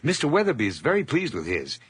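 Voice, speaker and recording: A man's voice: mid-range voice, wildly swooping pitch, fast, slightly rough, no echo, exaggerated voice. An actor playing a nervous, overly eager hired man who stammers through forced cheer in a radio drama. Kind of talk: 1980s radio drama acting.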